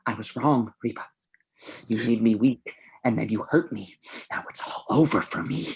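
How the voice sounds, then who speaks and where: A man playing a tormented, unstable killer, halting and menacing, in an amateur screenplay read over a video call. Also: on the low side, vocal fry, husky, exaggerated voice. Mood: bitter despair. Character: tormented, unstable male killer pleading with captive lover. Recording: home video-call audio.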